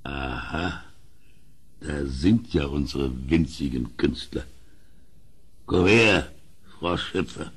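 A man's voice: a bass voice, slight background noise, full character voice. An actor playing a cruel, drunken king in a German audio drama, domineering and mocking as he commands. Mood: menacing. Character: cruel, drunken, bullying king, given to loud mirth.

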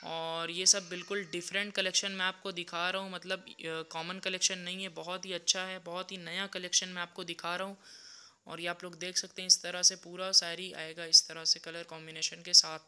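A young man talks through a shop's saree stock in a sales showcase, his voice bright and smooth. His delivery stays flat and even as he repeats the same phrases item after item. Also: high-pitched, normal speed. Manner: repetitive, steady walkthrough of each item. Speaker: saree seller. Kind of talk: product showcase sales pitch. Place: indoor saree shop.

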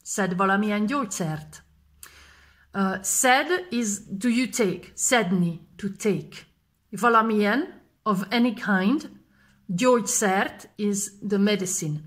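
A woman in her thirties teaching a language lesson to camera, repeating each phrase slowly and clearly, filmed close up indoors. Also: medium pitch. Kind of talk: slow vocabulary lesson. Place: indoors in front of a plain gray wall. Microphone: close camera framing, face fills frame.

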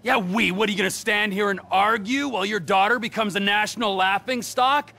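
An actor playing a volatile, middle-aged criminal psychopath in a video game, with a sardonic, theatrical, needling delivery. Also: male voice, high-pitched, fairly quick, gravelly, pushed and bright, exaggerated voice. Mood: angry ranting. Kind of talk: video game dramatic acting.

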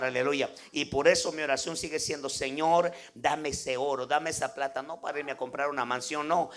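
A man's voice: mid-range voice, fairly bright tone. A man with a Guatemalan accent preaching an impromptu, exhortative sermon to a congregation, peppered with devotional interjections and tag questions. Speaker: evangelical preacher.

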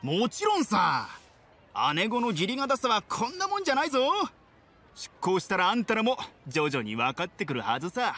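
A burly pirate crewman for an anime game. He is rough, casual and hearty. The voice is bright and forceful, and very animated. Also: high voice, normal speed, slight background noise, heavily acted. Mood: proud. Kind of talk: anime-style game voice acting.

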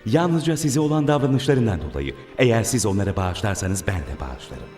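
A man's voice: lowish voice, big pitch swings, a little fast, darkish tone. A man playing a cunning, deferential servant of a villain in a cartoon dub. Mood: obedient, sly. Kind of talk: dubbed cartoon acting. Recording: echoey, distant, music behind.

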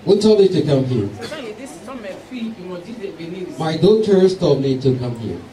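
A man interpreting a preacher's words into English line by line during a church service. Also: on the high side. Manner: quick echoing translation, lively. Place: church hall. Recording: echoey hall, far from the camera.

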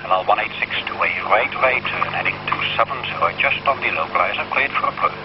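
A man's voice: on the low side, lively intonation, fast. A man with a Dutch accent, an air traffic controller giving repeated, procedural instructions over the radio. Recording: muffled, very noisy radio recording.

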